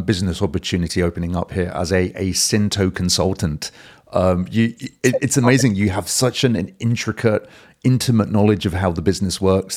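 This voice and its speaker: A man's voice: sits low, a little mellow. A man hosting an interview, curious and enthusiastic, asking follow-up questions and adding frequent short affirmations. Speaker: interviewer host. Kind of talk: conversational interview.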